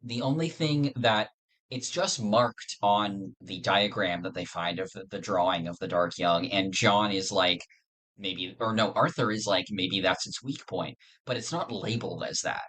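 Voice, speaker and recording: A man's voice: on the low side, unhurried, a bit bright, slight background noise, rough recording. A man in a casual podcast discussion, explaining fictional lore in earnest detail. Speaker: podcast panelist.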